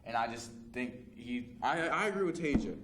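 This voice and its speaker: A man's voice: mid-range voice, lively intonation, moderate pace. A young man, a student news correspondent, giving his emphatic opinions in a casual panel discussion. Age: young adult. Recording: echoey room with clear background noise.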